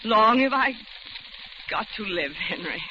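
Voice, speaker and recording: An actress playing a terrified captive woman in a radio suspense drama, halting and pleading as she humors her captor. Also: mid-range voice, expressive, natural voice. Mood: strained, resigned. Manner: breathless, halting, repeating herself in fear. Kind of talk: 1940s-style radio suspense acting with first-person narration. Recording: muffled old radio recording with crackling hiss.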